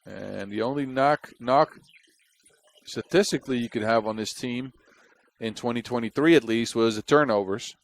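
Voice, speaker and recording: A man's voice: mid-range voice, normal speed, gravelly. A man from the Netherlands with a Dutch accent, giving chatty, wry play-by-play basketball commentary on a close mic. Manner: chatty and wry, with jokes between plays.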